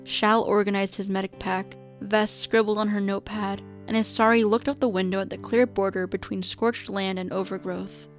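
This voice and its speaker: A woman narrates a scripted audio drama in a medium-pitched voice. Faint music plays beneath her reading. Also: level tone, moderate pace, few pauses.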